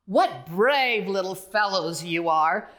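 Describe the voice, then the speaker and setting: A kindly workman who drives a bulldozer in a storybook, as a woman reader acts him. His speech is slow and approving, dropped lower than the reader's own voice. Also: lively intonation, fairly bright tone.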